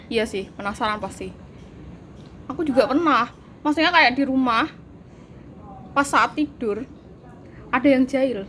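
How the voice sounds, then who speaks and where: A woman's voice: sits high, unhurried, pauses often, fairly bright tone, slight background noise. A woman, chatting casually and giggling as she tells a spooky story. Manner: giggly, laughs through her story. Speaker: co-host telling ghost stories. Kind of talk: casual chat.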